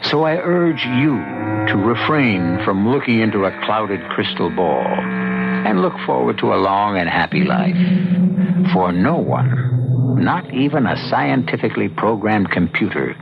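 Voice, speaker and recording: Slow, creaky, dramatic male radio host. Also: lowish voice. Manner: dramatic, deliberate pauses. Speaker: host and narrator. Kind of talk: old-time radio mystery narration. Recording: dull old radio recording, loud music.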